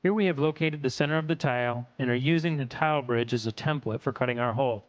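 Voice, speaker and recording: A man reads scripted technical narration for an instructional video in a measured, instructional way. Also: mid-range voice, no echo, dull audio.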